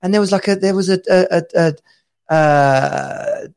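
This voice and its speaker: Male stream co-host, slightly higher voice with gravel, rambling casual banter. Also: a little slow, a little mellow. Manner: rambling, chatty, laughs often. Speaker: podcast co-host. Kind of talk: casual live-stream chat.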